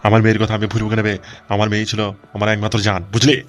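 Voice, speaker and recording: A hot-tempered cartoon gangster boss and harried father , acted by a man. He blusters and swears at great speed on a fairly level pitch, over music. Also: completely flat delivery, racing, close to an everyday voice. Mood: vengeful, aggrieved. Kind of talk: amateur comic cartoon dubbing.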